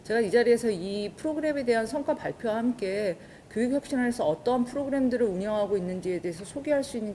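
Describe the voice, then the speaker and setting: A woman's voice: medium pitch, dark tone. Female research professor presenting, faint noise behind. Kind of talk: formal explanatory presentation. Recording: faint background noise and ambience.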